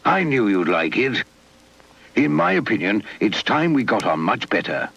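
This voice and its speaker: A man's voice: fairly low pitch, big pitch swings, moderate pace, vocal fry, fairly bright tone, breathy edge, heavily acted. Guy doing a bossy pompous british toy villain for a kids puppet show, very swoopy, dull old tape sound. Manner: self-important, theatrical. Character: pompous, bossy, nasty male toy leader Redbeard. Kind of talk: children's puppet show storytelling. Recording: dull lo-fi 1980s VHS tape.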